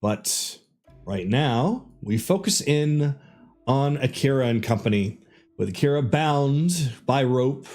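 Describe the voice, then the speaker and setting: A man runs a tabletop game as dungeon master. He narrates slowly and dramatically with animated pitch, over a faint music bed. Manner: deliberate, dramatic pauses and emphasis.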